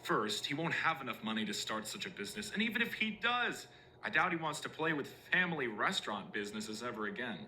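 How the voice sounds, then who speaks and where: An actor playing a self-assured, persuasive male official in a horror drama, speaking in a deliberate, theatrical and emphatic way. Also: mid-range voice, big pitch swings, bright, forceful tone, slight background noise, low-quality recording. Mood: confident, dismissive. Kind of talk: scripted analog horror drama acting.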